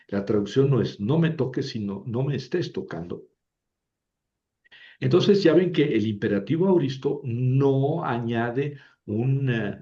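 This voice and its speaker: A man's voice: mid-range voice, mellow. A man teaching a language lesson, explaining patiently and often checking with "¿verdad?". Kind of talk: lecture. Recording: dull lo-fi recording.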